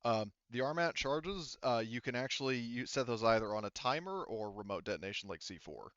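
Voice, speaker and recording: Guy running a tabletop game, smooth clear voice, rambles and restarts a lot, kinda dull dry mic. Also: mid-range voice, lively intonation, a bit bright, no echo. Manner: rambling and halting, casually profane. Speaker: game master. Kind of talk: casual improvised explanation of tabletop game rules and lore.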